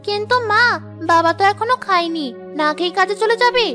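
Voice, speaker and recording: A woman's voice: very high voice, brisk, bright, forceful tone, soft background music. A woman voicing a sweet, obedient little village girl in a cartoon, childlike and pleading.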